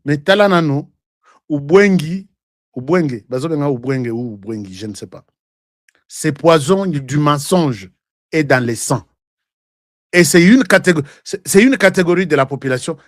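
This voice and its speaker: A man's voice: on the high side, no room sound, studio quality. A man with a Congolese accent giving heated political commentary, hammering points with repeated words and rhetorical questions. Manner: emphatic, repeats himself, asks rhetorical questions; switches between French and Lingala. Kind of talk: political commentary with played clips.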